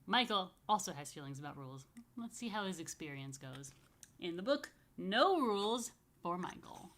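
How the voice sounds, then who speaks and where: Bright-voiced woman reading to children. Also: on the low side, big pitch swings, moderate pace, slight background noise. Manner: conversational, explanatory, stressing words for children. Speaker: rabbi reading a story to children. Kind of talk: read-aloud storytime with casual asides to young children.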